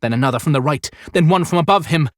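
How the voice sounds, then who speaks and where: A man narrating an audiobook in a theatrical, suspenseful style with deliberate emphasis. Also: a little high, animated. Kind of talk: dramatic scripted fiction narration.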